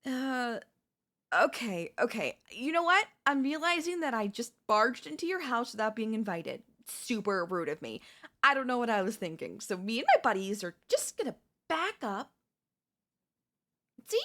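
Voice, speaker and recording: A woman's voice: fairly high pitch, sing-song, normal speed, fairly bright tone. A woman playing a chatty, cheeky female zombie in a comedic audio roleplay, breezy and falsely friendly. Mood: nervous, placating. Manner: breezy coaxing, falsely friendly, awkward backpedalling.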